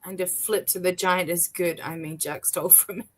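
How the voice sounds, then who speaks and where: A woman's voice: fairly low pitch, steady tone, moderate pace, husky. A woman hosting a writing stream, chatting casually and leading the group into writing sprints.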